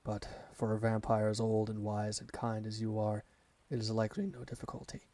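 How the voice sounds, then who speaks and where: A man's voice: fairly low pitch, flat delivery, mellow, lightly acted. A man playing a devoted alpha werewolf servant in an ASMR roleplay, speaking formally and earnestly to his master. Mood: devoted, reverent. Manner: formal, measured, reverent address to a master.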